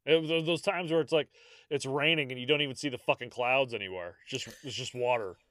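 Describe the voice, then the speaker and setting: A man's voice: mid-range voice, quick pace, a bit bright, dry recording, studio quality. An American man co-hosting a podcast, a little congested, chatting casually and laughing through stories with plenty of swearing. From United States. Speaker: podcast co-host. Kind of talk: casual chat.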